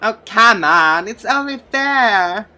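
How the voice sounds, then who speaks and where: A man puts on a teenage girl from a visual novel, pitched up and bright. She is witty and playful, quick to tease and just as quick to get flustered. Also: expressive, exaggerated voice. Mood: teasing. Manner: playful, teasing, easily flustered.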